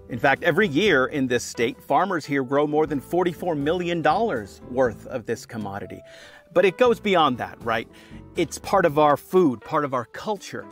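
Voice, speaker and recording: A man in his forties with an American accent, delivering scripted narration as the host of a regional TV feature. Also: on the high side. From southern New Mexico, United States.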